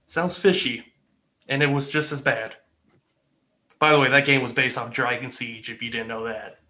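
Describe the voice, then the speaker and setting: Bright, sarcastic male panelist. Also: medium pitch, fairly even intonation, normal speed. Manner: sarcastic, trivia-heavy storytelling. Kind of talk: casual panel chat.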